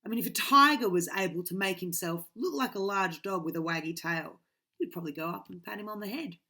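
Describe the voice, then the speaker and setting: A woman's voice: mid-range voice, a little fast. A woman, reading a children's book aloud dramatically into a webcam in a small bedroom. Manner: dramatic, swinging from loud to hushed. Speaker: reader.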